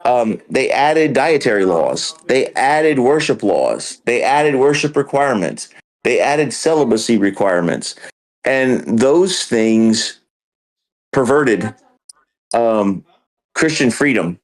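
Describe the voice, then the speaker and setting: A man hosts a casual podcast talk and works through a theological idea point by point. He speaks slowly, pausing often on ums, and his voice sits at an ordinary middle pitch. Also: sing-song, low-quality recording. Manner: earnest, explains step by step with many ums. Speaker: podcast host.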